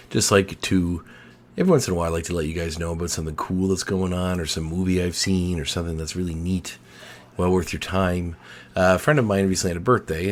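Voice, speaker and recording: A man hosting a podcast in a relaxed, rambling chat, his baritone voice a little hoarse. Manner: laid-back, filler-heavy rambling. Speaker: podcast host.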